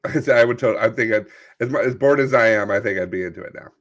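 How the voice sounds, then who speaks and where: A man's voice: lowish voice, very fast, a bit bright. A man co-hosting a comedy podcast, wisecracking and laughing often as he chats casually. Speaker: podcast co-host.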